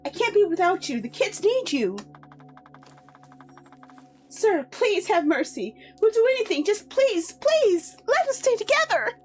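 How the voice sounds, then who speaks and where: A woman's voice: sing-song, measured pace, a little mellow, heavily acted. A woman voicing a young mother who wails and begs in desperation to keep her family together. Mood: desperate. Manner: wailing, shrill sobbing pleas.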